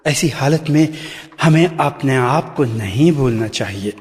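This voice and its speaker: An actor playing a solemn, pious neighbour who offers measured, sententious consolation in a radio drama. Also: male voice, mid-range voice, expressive, darkish tone, close to an everyday voice. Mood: solemn consoling. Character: solemn, pious timber-yard manager consoling a widowed neighbour. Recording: archival radio, long reverberant hall, faint music.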